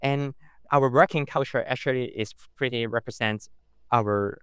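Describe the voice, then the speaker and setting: A man with a Hong Kong accent, a native Cantonese speaker, talks in a casual, hesitant way, full of fillers and restarts. His voice is clear and smooth at a middle pitch. The recording is dry and close. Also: normal speed, fairly bright tone, no room sound. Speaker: podcast guest. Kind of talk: casual interview talk.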